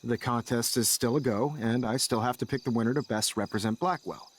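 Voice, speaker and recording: An American man playing a composed, stern high school teacher in a video game, speaking formally and firmly. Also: a little hiss or hum, lightly acted. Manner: measured, formal, firm.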